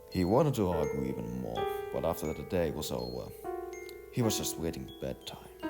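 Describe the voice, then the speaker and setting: Deep gravelly male storyteller over music. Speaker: narrator of an audiovisual novel. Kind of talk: scripted story narration. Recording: music behind the voice.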